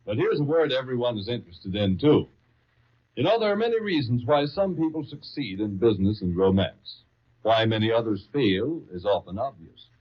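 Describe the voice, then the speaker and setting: A man's voice: medium pitch, sing-song, normal speed. A man reading as an old-time radio announcer, with an emphatic, persuasive salesman's delivery. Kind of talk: 1930s radio advertising read. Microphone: studio broadcast microphone. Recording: muffled, lo-fi 1939 radio broadcast.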